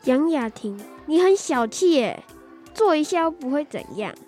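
A man's voice: very high voice, big pitch swings, normal speed, darkish tone, faint music behind. A young boy playing a teasing, rude schoolboy classmate in a radio drama. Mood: dismissive. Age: child.

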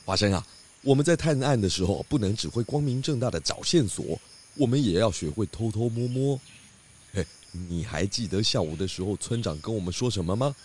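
An actor playing a calm, clever pig detective in a children's radio drama, patient and instructive. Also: male voice, fairly low pitch, fairly quick, slight background noise, natural voice.

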